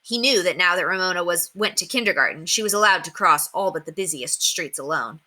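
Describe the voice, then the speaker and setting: Woman storyteller, lowish pitch, very bright forceful tone, expressive children's read-aloud. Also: brisk. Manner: animated, acts out dialogue.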